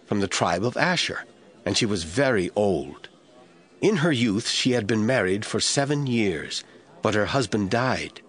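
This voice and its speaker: A man giving measured, scripted Bible narration in a storytelling manner. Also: lowish voice.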